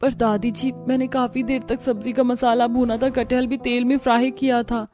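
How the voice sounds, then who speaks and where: A woman's voice: fairly high pitch, little pitch movement, moderate pace, a little mellow, voice pushed up, lightly acted. A woman acts out a put-upon young daughter-in-law from a family cartoon. She sounds worn down and plaintive, yet stays polite with elders. Faint music plays behind her. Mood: polite pleading. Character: weary, put-upon young daughter-in-law, whining about kitchen drudgery. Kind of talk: Hindi family cartoon voice acting.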